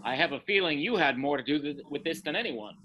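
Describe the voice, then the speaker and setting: A sharp, animated voice of a man acting as a jilted fiancé in a radio drama. He is stuffy and pompous, full of indignation. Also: a little high, quick pace, a little hiss or hum. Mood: stiff, wounded.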